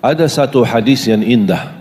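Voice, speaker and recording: A male preacher addresses a live gathering in a middle-pitched voice. He asks the audience questions and recites lists slowly and deliberately. A long reverb trails each phrase, as in a large hall. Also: a little mellow. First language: Arabic.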